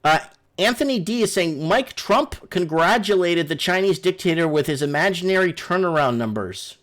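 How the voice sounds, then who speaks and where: A man's voice: high-pitched, expressive, normal speed, fairly bright tone. A man hosting a casual live call-in stream, rambling and repeating himself as he addresses his viewers. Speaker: livestream host.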